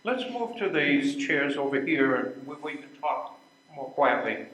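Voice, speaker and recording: A man's voice: on the high side, very animated, measured pace, a bit bright. A man playing a genial, chatty bicycle company executive in his mid-fifties in a readers theater play. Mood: sociable, chatty. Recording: echoey room recording.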